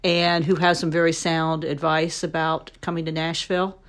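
A woman's voice: low voice, little pitch movement, moderate pace. A woman from Ohio with a Midwestern American accent, hosting an interview and chatting in an encouraging way, often repeating words. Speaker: interview host. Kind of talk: casual interview chat.

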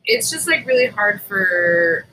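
A woman's voice: medium pitch, expressive, measured pace, sharp tone, slight background noise, rough recording. A woman chatting casually as a podcast co-host, venting in a candid, informal way with some swearing.

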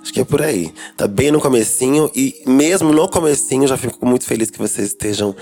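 A Brazilian man hosts a chatty podcast in a drag persona, his voice hoarse and a little rough from a lingering cold. He talks in a campy, playful way full of jokes and asides, at an ordinary middle pitch. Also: brisk, dark tone, soft background music. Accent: Brazilian Portuguese. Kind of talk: casual podcast chat.

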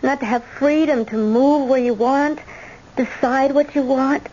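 A woman's voice: high-pitched, fairly even intonation, measured pace, a little mellow. A woman playing a weary, unhappy eighteen-year-old granddaughter, earnest and hesitant, in an old-time radio drama. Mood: weary, wistful. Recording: dull old radio recording.

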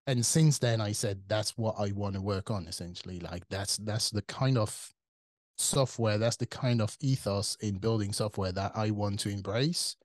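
French-accented man, low and dry. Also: moderate pace, no echo. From France. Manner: thinking aloud, many fillers and restarts. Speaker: guest, tech company CTO. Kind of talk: casual interview conversation.

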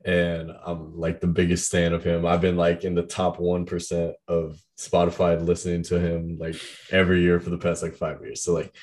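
Young man, very deep voice, casual chat, rambling, lots of "like". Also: monotone, moderate pace, few pauses, darkish tone. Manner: rambling, heavy use of "like". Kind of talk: casual chat about music.

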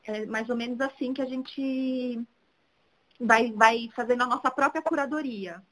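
A woman's voice: fairly high pitch, a little slow, low-quality recording. A woman, talking casually as an interview guest. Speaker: interview guest, social media city guide creator. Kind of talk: casual chat.

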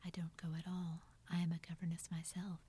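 A shy, gentle young woman speaks in a soft, breathy whisper, as a woman reads her part. Her voice sits low and stays even, timid and modest. This is a hushed storybook reading. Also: a little fast. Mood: bashful.

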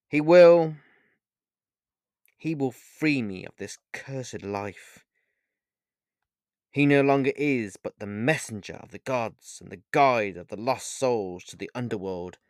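A man's voice: medium pitch, smooth, no echo, studio quality, pitched above the performer's own voice. A man playing a proud, bitter ancient god, emphatic. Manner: theatrical, declamatory, emphatic. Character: wild-eyed ancient male god Pan, sardonic, bitter and scornful. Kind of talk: dramatic fantasy storybook narration.

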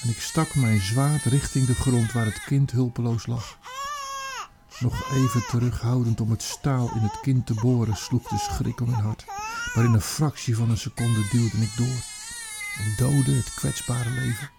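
A haunted old Roman army commander, acted by a man in a radio drama monologue. He reflects in a halting, theatrical way and leans hard on key words. The delivery is weighty and dramatic. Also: medium pitch, fairly even intonation, creaky, noisy background. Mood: anguished, tearful.

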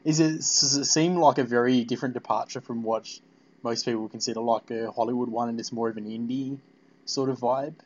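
A man's voice: medium pitch, steady tone, brisk, lo-fi sound. A man hosting a casual fan interview, putting loosely phrased, rambling questions to a guest. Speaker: interviewer, podcast host.

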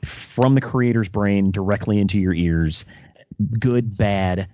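A man's voice: fairly low pitch, slow pace, mellow, no echo, lo-fi sound. A man interviewing on a podcast, conversationally offering thoughtful opinions and building his sentences phrase by phrase.

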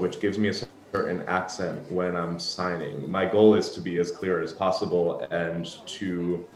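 A man who works as a sign language interpreter, answering interview questions in a thoughtful, reflective way with frequent restarts. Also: low-pitched. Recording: echoey room.

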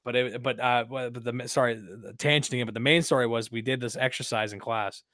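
A man's voice: mid-range voice, steady tone, a bit bright, no echo, studio quality. Young man rambling through a story. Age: early 20s. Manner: rambling, halting, repeats phrases, frequent swearing. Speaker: podcast host. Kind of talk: casual podcast storytelling.

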